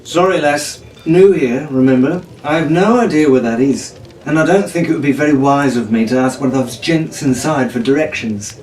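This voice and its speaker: A man's voice: medium pitch, normal speed, darkish tone, distant mic, lightly acted. A man voicing a cocky, swaggering teenage boy pirate in a glib, theatrical manner for a storybook. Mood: charming. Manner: glib, exclamatory, stuttering when flustered. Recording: roomy, off-mic, faint noise.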